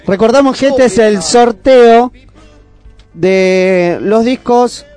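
High-pitched Argentine man hosting radio. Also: takes it slowly, a little mellow, smooth, faint music behind. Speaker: radio co-host. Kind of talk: casual community radio presenting.

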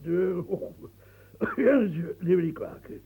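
A man's voice: high-pitched, lively intonation, unhurried, creaky, phone-quality sound, slight background noise, exaggerated voice. An actor playing a flustered, kindly old marquis who stammers and fumbles his words, in a radio drama. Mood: fumbling. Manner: hesitant, stammering. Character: aging weary male marquis, flustered and kindly.

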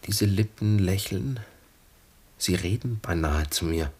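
An actor playing an earnest, wistful lieutenant of twenty-three in a 1960s German radio play, speaking formally and gently probing. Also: male voice, low-pitched, takes it slowly, slightly rough, exaggerated voice. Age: young adult.

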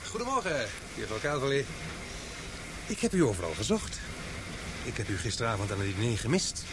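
Actor as an earnest young-sounding journalist, animated, noisy recording. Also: male voice, brisk, natural voice. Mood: courteous, coaxing. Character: earnest, battered male journalist, eager and easily flustered. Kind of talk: Dutch radio drama acting. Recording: noisy, engine-like rumble behind.

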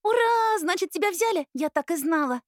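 A woman voicing a bubbly, stubborn young student, a younger sister with excitable, girlish chatter, in an audiobook. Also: very high voice, fairly quick, no room sound, clean studio sound, pitched above the performer's own voice. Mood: excited. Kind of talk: audiobook character acting.

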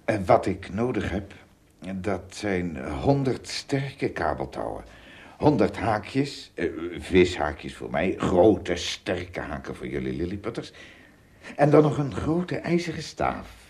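Low gravel-rough voice, good-natured puzzled traveller, animated, hesitant, radio drama. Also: male voice, slow pace, exaggerated voice. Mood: hesitant, thoughtful. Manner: hesitant, stammering. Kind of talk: Dutch radio play acting.

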